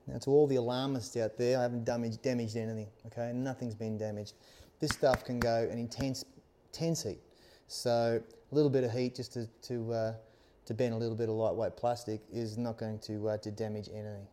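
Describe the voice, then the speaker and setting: A middle-pitched man in his forties teaches a practical task. His voice is soft-edged and dark rather than sharp. He speaks informally and reassuringly, breaking his instructions up with little check-in questions. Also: level tone, brisk. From Australia. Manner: informal, reassuring, often checks with "okay?" and "all right?". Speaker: instructor, helmet accessory maker. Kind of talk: casual hands-on tutorial. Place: small workshop studio indoors, seated at workbench.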